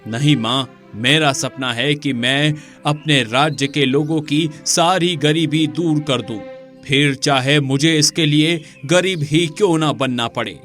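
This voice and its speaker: Man acting a forceful, bright-voiced storybook king. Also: on the high side, takes it slowly, soft background music. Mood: earnest, resolute. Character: proud male kings, one generous, one merciless. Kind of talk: dramatised Hindi moral story.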